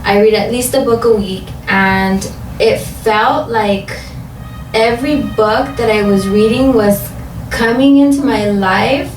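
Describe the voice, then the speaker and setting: Relaxed woman guest in an echoey room. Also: fairly high pitch, takes it slowly. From Los Angeles, USA. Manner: relaxed, hesitant, many ums. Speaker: interview guest, blogger. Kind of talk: casual interview. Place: home craft room. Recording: roomy, distant, noisy home recording.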